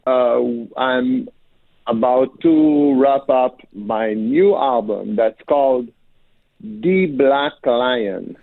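A man born in Africa, a singer and producer, answering radio interview questions by phone with many pauses and fillers. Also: mid-range voice, creaky, no room sound. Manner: halting, many fillers, slow and careful. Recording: tinny phone line.

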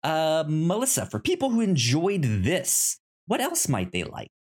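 A casual podcast co-host who steers the show at a slow pace. The pitch moves around a lot, and the delivery is playful and halting, like someone thinking aloud while chatting. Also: huge pitch swings, a little mellow, slightly rough. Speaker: podcast co-host running the segments. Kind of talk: casual chat.

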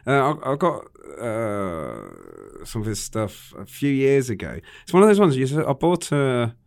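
Brief: male radio guest, earnest, halting delivery. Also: medium pitch, wildly swooping pitch, vocal fry. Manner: hesitant, many fillers and restarts, earnest. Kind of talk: casual radio chat.

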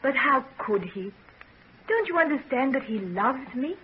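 An actress playing a loyal, loving young fiancée in a 1940s radio drama, with an earnest, emotional delivery. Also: female voice, sits high, very animated, unhurried. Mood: defensive, pleading. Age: young adult. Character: loyal, loving young woman engaged to be married. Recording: dull, noisy 1940s radio recording.

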